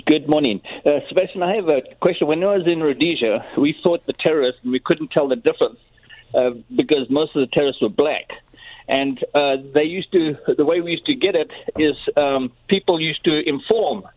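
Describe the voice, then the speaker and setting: A man's voice: fairly high pitch, brisk, no echo. An older man calling into a television show by telephone, speaking in a blunt, rambling way. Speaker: caller. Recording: tinny dry phone line.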